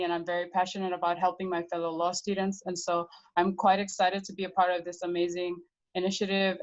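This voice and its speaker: A young woman from Papua New Guinea, a law student, introducing herself in a measured, earnest way over a video call. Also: fairly low pitch. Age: young adult. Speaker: law student, marketing director. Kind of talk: self-introduction in a webinar. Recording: dull lo-fi call audio.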